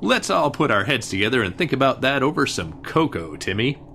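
Folksy scoutmaster male actor, bright projection, animated, cheerfully patronizing, vintage serial style. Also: mid-range voice, brisk, a little hiss or hum. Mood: avuncular. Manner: hearty, folksy, encouraging. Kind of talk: parody of old-time radio serial.

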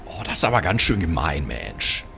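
Chummy man with very lively intonation. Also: a bass voice, moderate pace, gravelly, a little hiss or hum. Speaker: player in a role-play show. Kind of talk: improvised in-character chat.

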